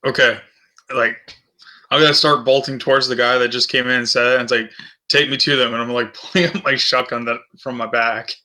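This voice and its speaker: Male gamer, piercingly bright mid-pitched voice, casual play-along chat. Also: steady tone, fairly quick. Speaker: tabletop game player.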